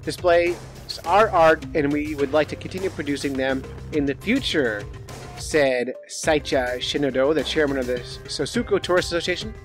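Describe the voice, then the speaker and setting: Informal, higher-voiced man hosting a podcast. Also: moderate pace, soft background music. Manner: informal, jokey, thinking aloud. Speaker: podcast host. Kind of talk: casual podcast chat, reading news stories aloud.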